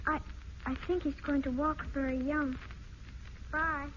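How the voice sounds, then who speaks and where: High-voiced shy little girl. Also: fairly even intonation. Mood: earnest, tender. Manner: halting, earnest, stammering. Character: shy, earnest little girl, protective big sister. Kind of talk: old-time radio drama acting. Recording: muffled, noisy old radio broadcast.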